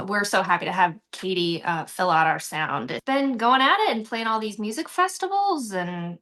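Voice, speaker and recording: A woman's voice: mid-range voice, sing-song, moderate pace, fairly bright tone. An American woman from Texas talking casually and animatedly as an interview guest, telling personal stories. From San Antonio, Texas, United States. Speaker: interview guest, actress and swing band musician.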